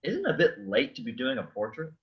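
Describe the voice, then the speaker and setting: Lively-pitched, sheepish Lazarus played by a man, dull recording. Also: brisk. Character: awkward, sheepish, reluctantly apologetic Lazarus. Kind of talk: staged reading of a comic play.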